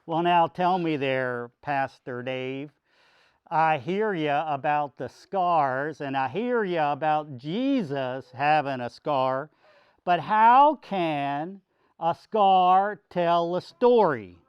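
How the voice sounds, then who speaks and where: Wisecracking male puppet, acted by a man, children's show: folksy drawl, teasing complaints, unhurried, muffled recording. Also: a little high, animated, smooth, no room sound. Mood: puzzled. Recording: dull lo-fi sound.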